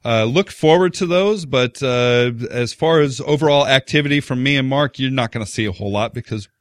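A man's voice: medium pitch, brisk, no echo, clean studio sound. A man hosting a podcast in casual chat, rambling along with many fillers and restarts. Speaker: podcast host.

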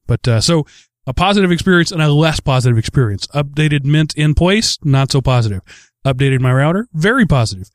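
A man hosting a casual chat show, talking in a rambling, halting way and often repeating words as he goes. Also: a little high, animated, dry recording, clean studio sound. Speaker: host of a tech chat show.

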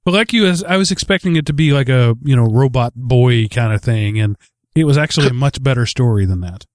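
A man in relaxed conversation as host of a talk stream. His delivery is halting and rambling, doubling back on words, in a voice of ordinary middle pitch. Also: dry recording, clean studio sound. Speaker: host of a tech chat show. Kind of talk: casual rambling chat.

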